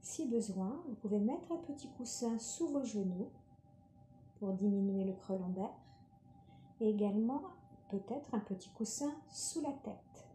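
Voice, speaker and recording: Female meditation guide, very soft dark timbre, soothing and unhurried, recorded in a roomy space. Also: medium pitch, a little hiss or hum. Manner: calm, unhurried, gentle instructing. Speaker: yoga nidra relaxation guide. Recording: roomy, reverberant sound.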